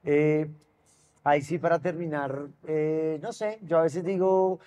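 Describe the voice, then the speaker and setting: A man's voice: a little high, fairly even intonation, unhurried, warm tone, muffled recording. A man in his thirties with a Colombian accent, hosting an interview in a casual, conversational way. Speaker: interviewer, fellow actor. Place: large glass-walled event space with seated audience. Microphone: lapel mic. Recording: noticeable background ambient noise.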